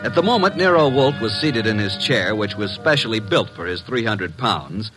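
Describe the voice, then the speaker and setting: An actor playing a brash, wry detective's assistant in a 1950s radio mystery, glib and animated. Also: male voice, lowish voice, normal speed, over music, natural voice, low-quality recording. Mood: wry narration. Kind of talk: 1950s radio drama acting and narration. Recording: vintage 1950s radio recording.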